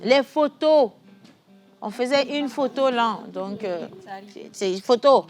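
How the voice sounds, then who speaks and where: Woman, Togolese French accent, talk show host, lively and laughing, fairly high voice, faint music behind. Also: takes it slowly. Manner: animated, laughing, shifts from chatty to soft. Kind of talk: lively studio chat, reading viewer messages. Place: TV studio.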